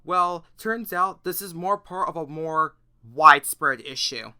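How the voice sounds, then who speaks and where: Youtuber doing commentary, crisp bright voice, mid pitch, earnest and emphatic. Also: expressive, measured pace. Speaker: YouTuber presenting an issue video. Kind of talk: semi-scripted commentary to camera or voice-over.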